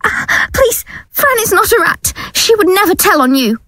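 A woman's voice: very high-pitched, big pitch swings, normal speed, bright, forceful tone, no room sound, studio quality, exaggerated voice. A woman playing an anxious, placating abused girlfriend in an audio drama, affectionate but fearful, with a hesitant, soothing and pleading manner. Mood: frightened pleading.

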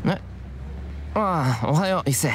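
A man's voice: a little high, expressive, unhurried, slight background noise. A man voicing an easygoing, blunt teenage schoolboy in a Japanese visual novel, casual and plainspoken. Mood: casual, puzzled. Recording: faint street ambience behind voice.

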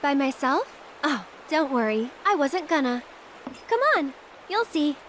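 A woman's voice: sits high, expressive, a little slow, a bit bright, a little hiss or hum, low-quality recording. An actress playing a playful, self-assured young woman with a casual, teasing manner in a video game cutscene. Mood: breezy, reassuring. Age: young adult.

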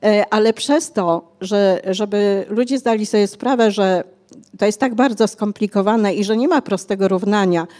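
A woman's voice: mid-range voice, normal speed, darkish tone. An older woman, an author being interviewed on a bookshop stage, explaining at length with many hesitations, speaking into a handheld mic. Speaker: author guest. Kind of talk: conversational interview. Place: bookshop cafe stage, indoors.

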